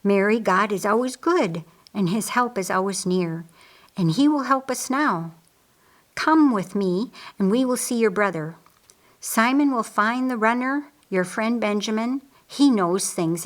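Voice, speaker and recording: Woman reading as a steady, reassuring woman healer. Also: medium pitch, a little slow, natural voice. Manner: reassuring, firm. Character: steady, faithful woman healer comforting her friend.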